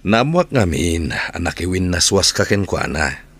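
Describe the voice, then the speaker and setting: Very deep ilocano radio drama buddy, loyal, protective, scolding, swoops up and down. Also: male voice, very animated, moderate pace, fairly bright tone, breathy edge, full character voice. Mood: persuading. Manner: emphatic, exhorting. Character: loyal, protective male friend, urging and scolding.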